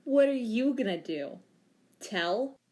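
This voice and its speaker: A woman's voice: a little high, lively intonation, takes it slowly, dark tone. A woman playing a sly policewoman who talks in a sly, teasing way in an amateur audio drama.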